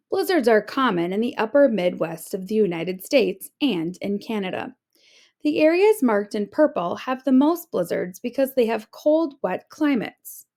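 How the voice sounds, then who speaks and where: A woman reading an informational children's book aloud like a teacher, with clear, deliberate phrasing. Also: a little high, a little mellow.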